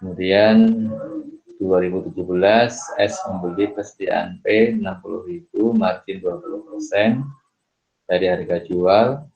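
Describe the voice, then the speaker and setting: A middle-aged man with a very deep voice works through exam problems for his students. He moves unhurriedly, tagging his phrases with "ya" and stopping for "eh". The recording sounds dull and muffled. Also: fairly even intonation. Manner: unhurried, fills pauses with "ya" and "eh". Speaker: accounting lecturer.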